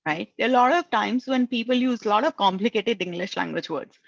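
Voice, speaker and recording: A woman's voice: on the high side, brisk, vocal fry, dry recording, muffled recording. A woman with an Indian accent, for whom English is a second language, giving earnest networking advice and often tagging her lines with "right?". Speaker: career coach. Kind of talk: advice talk.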